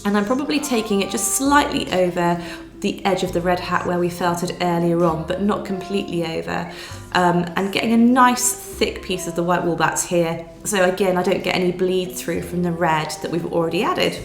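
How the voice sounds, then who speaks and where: A woman, nasal and croaky from a cold, patiently talking through a needle felting tutorial step by step. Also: lowish voice, moderate pace. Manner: patient step-by-step explaining with fillers. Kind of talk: craft tutorial voice-over. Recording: roomy sound with soft music behind.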